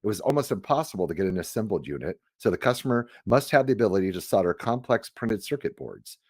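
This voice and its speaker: A man's voice: lowish voice, expressive, quick pace, a little mellow, dry recording, clean studio sound. A man reading scripted audiobook narration. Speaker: audiobook reader.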